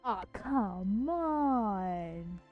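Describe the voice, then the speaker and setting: A woman livestreaming a game with casual, playful, expressive commentary. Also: medium pitch, drawn-out words, phone-quality sound, faint music behind.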